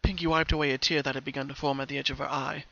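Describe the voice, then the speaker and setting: A man's voice: mid-range voice, little pitch movement, very fast, gravelly, bright, forceful tone, muffled recording. A man reading a scripted story aloud as its narrator, in a dramatic storytelling style.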